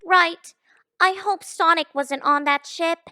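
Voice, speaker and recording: High, bright, bubbly cartoon girl. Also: takes it slowly, no room sound, clean studio sound, heavily acted. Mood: concerned. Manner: bubbly, eager. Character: perky, girlish, sweet female cartoon hedgehog.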